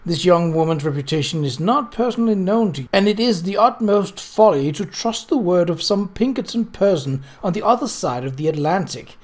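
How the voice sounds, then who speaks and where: A man's voice: sits high, expressive, a little fast, hardly any pauses. A man voicing a cross, pious middle-aged widow in a storybook, indignant and sanctimonious.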